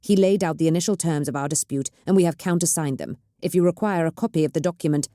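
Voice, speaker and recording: Heated defensive nobleman, low dark voice, woman reading. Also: quick pace, no echo, clean studio sound, natural voice. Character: hot-tempered nobleman, defensive.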